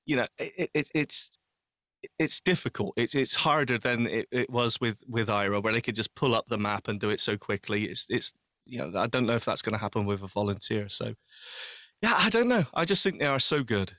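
A man's voice: medium pitch, animated, brisk, sharp tone, dry recording, studio quality. A man talking casually and earnestly as a panelist on a podcast, with an emphatic way of putting things.

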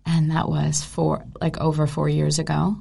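This is a woman in her thirties, a guest on a podcast, with a lowish voice that has a lot of vocal fry. She tells her story in an unhurried, relaxed way. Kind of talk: casual chat.

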